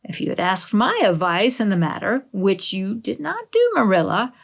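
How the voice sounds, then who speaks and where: A woman's voice: medium pitch, wildly swooping pitch, normal speed, creaky, exaggerated voice. A woman voicing a blunt, alarmist older village busybody with an emphatic, scolding delivery in a storybook reading. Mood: scolding, alarmed.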